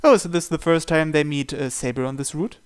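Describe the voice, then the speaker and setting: A man's voice: a little high, fast, clear, resonant tone. A man reading visual novel narration aloud as he plays, with casual asides. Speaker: video game player reading along.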